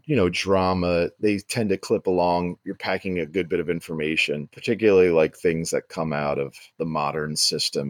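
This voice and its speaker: Low-pitched man explaining thoughtfully. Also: no echo, studio quality. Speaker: screenwriter, guest. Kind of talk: thoughtful interview answer.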